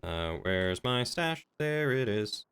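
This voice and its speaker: A man's voice: mid-range voice, very animated, slow pace, fairly bright tone, clean tone, full character voice. A man playing an affable small-town tavern keeper in improvised game roleplay, with polite, folksy small talk. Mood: genial, obliging.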